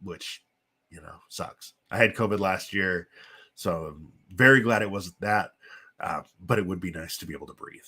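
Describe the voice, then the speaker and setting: A man with a congested, sniffly voice from a chest cold, hosting and giving casual, halting play-by-play commentary on simulated wrestling. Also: low-pitched, bright, forceful tone, breathy edge. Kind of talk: casual live play-by-play commentary with chatty asides.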